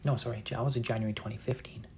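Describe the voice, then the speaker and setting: A man in his thirties, a painter chatting casually with viewers during a live session, soft-spoken and halting with many fillers and restarts. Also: medium pitch, fairly even intonation, slight background noise. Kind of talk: casual chat while painting.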